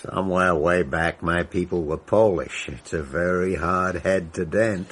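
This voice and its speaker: An actor playing a brash, anxious male movie-maker in a radio drama, talking bluntly and excitably. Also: low voice, a little slow, husky, full character voice. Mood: shaken. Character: brash, anxious male film producer-director.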